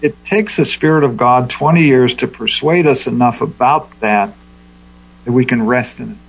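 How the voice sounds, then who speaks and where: Husky man leading Bible discussion. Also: mid-range voice, rough recording. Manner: thoughtful, unhurried teaching. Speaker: Bible study leader.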